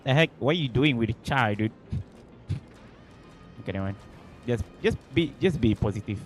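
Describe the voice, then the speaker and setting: Male streamer, loose and slangy, animated pitch, loud music behind. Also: moderate pace. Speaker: game livestreamer. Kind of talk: casual live gaming commentary.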